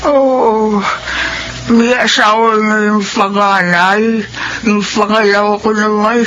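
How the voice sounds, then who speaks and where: A woman's voice: medium pitch, a bit bright. A woman playing a grateful, ailing middle-aged mother in a Filipino radio drama. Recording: dull radio recording, faint noise.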